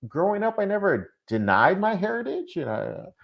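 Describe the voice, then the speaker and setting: A man's voice: high-pitched, big pitch swings, moderate pace, vocal fry, highs cut off. A man with a Minnesotan accent, a podcast guest talking casually and hesitantly, with many fillers and restarts. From Minnesota, USA. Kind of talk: casual interview talk.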